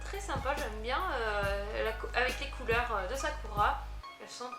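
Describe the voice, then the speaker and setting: A woman chatting through an unboxing vlog, easily delighted and quick to giggle. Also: on the high side, roomy sound, music in the background. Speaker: unboxing vlogger. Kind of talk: casual product unboxing chat.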